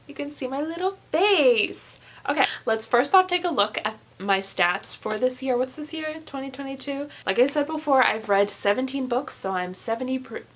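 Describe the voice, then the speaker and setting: A young woman in her late teens chatting casually to camera as a book vlogger, rambling with animated, self-deprecating asides. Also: sits high, moderate pace, hardly any pauses, slight background noise. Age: young adult, late teens.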